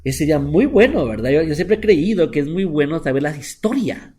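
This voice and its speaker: A middle-aged man teaching a relaxed Bible class as a rabbi, talking in a chatty, repetitive way and slipping English words into his Spanish. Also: fairly high pitch, brisk, slightly rough. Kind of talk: informal Bible lesson.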